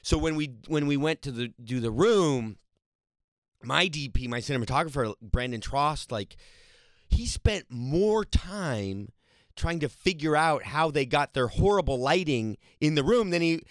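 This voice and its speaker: A man's voice: on the high side, measured pace, no echo, clean studio sound. A man telling stories about making a film, talking casually and rambling with frequent laughs. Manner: rambling, animated storytelling with laughs. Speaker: actor and director. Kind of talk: casual interview talk.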